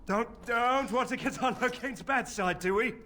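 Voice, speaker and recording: An actor voicing a gruff, swaggering male mercenary in a video game. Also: very high-pitched, moderate pace, pushed and bright, slight background noise. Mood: uneasy.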